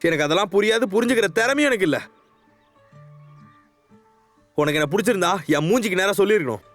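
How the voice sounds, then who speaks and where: An actor playing a nervous, defensively proud young man in love, rambling and halting, in a conversational short-film style. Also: sits high, lively intonation, a bit bright, soft background music. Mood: agitated. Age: young adult. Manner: halting, rambling, self-justifying.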